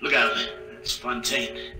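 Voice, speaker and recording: An American actor playing a wary, commanding soldier leading a boarding party in a 1950s radio drama. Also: male voice, medium pitch, flat delivery, slow pace, bright, forceful tone, faint music behind. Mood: urgent, commanding. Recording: distant, echoey old radio recording.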